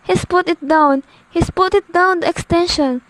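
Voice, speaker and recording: A woman's voice: very high-pitched, a bit bright, dry recording, poor audio. A young woman acting a nervous, demanding bedridden woman alone at home in an amateur radio play. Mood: panicked. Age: teenager. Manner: imperious, nervous, halting delivery.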